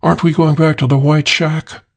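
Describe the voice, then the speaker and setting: A man's voice: a little high, little pitch movement, normal speed, gravelly, voice pushed up. A man voicing a beautiful woman crook in a crime audiobook, earnest, pleading and confessional.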